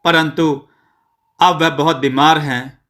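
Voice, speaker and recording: A male storyteller reads a dutiful young son in a moral tale. He sounds polite and resolute, with a bright, slightly high voice. He speaks slowly and earnestly. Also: steady tone. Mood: earnest, persuading. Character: dutiful educated young son taking over family shop.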